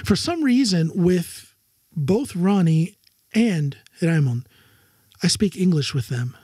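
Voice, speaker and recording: A man from the United States hosting a relaxed interview, his voice sitting fairly high but mellow and a little husky. He keeps things moving with encouraging questions, recorded close and dry. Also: slow pace, no echo. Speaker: podcast host. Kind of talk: casual interview. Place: home.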